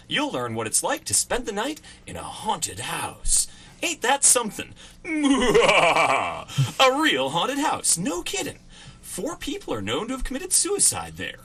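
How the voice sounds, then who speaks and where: A brash old-time radio disc jockey, as a man puts him on, pitched well above his usual voice and swinging through a lively range. The delivery is showy, chuckling announcer patter in a bright, forceful tone, straight out of 1940s radio. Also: a little hiss or hum, exaggerated voice.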